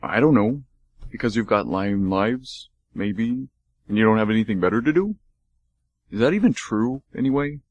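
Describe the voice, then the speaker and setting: A man's voice: fairly low pitch, expressive, normal speed, darkish tone, lo-fi sound. Man doing a dopey, good-natured dog. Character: dim, cheerful, friendly leashed dog. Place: college radio studio.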